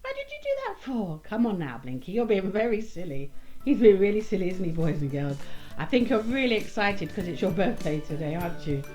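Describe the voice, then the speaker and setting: A woman's voice: mid-range voice, big pitch swings, brisk, over music. A woman presenting a children's puppet show, coaxing in a sing-song way with simple phrases and questions. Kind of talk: children's entertainer talk to a puppet and young audience.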